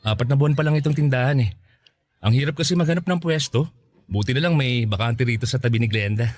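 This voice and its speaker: A man's voice: medium pitch, fast, lightly acted. A man playing an easygoing, friendly fruit vendor in a Filipino radio drama, with a Filipino accent. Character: easygoing male mango vendor, average, friendly.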